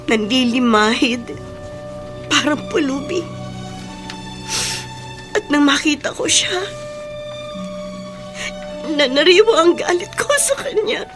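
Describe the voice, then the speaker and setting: Radio drama: wounded, resentful young Filipina, high voice, emotional delivery. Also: female voice, a bit bright. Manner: emphatic, emotional. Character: bitter, wounded young woman, openly resentful.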